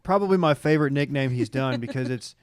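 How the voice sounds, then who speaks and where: A man talks casually about television in a smooth, resonant voice of medium pitch. The recording is close and dry, free of echo. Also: fast talker. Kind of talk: casual chat about a reality TV show.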